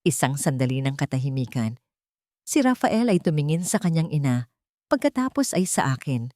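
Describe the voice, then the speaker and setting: A woman's voice: low-pitched, sing-song, normal speed, darkish tone, dry recording, clean studio sound. A woman reading a scripted first-person story in a dramatic, solemn manner. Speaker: narrator.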